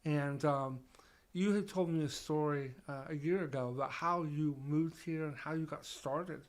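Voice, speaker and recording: A man's voice: fairly high pitch, moderate pace. A man hosting a podcast in a conversational interview style. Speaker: podcast host.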